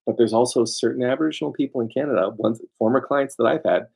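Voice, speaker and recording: A man's voice: mid-range voice, fast talker, creaky, a little mellow, breathy edge. A man giving an informal lecture as a lawyer, emphatic and digressive, often throwing rhetorical questions at his listeners. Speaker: lawyer presenting a seminar.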